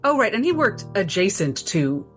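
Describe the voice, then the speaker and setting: A woman narrating her character's moves as a tabletop game player, halting and thoughtful. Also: mid-range voice, sing-song, a bit bright, faint music behind. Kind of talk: improvised roleplay narration.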